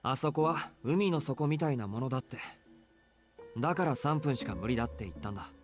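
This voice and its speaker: A man's voice: medium pitch, animated, racing, music in the background, lightly acted. A man voicing a weary, blunt teenage boy in a visual novel game, speaking in a curt, exasperated way. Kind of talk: Japanese game voice acting.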